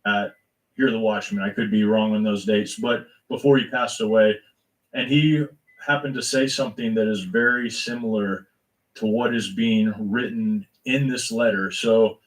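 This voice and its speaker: A man's voice: lowish voice, poor audio. A man hosting an online show, addressing his viewers earnestly before reading them a letter. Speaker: channel host.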